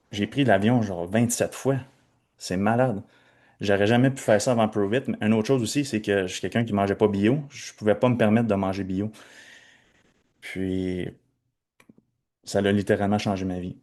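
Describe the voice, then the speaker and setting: A man's voice: fairly low pitch, darkish tone. A young man with a Québécois French accent, hosting a webinar in a chatty, slangy way. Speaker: webinar host introducing speakers. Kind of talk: casual talk.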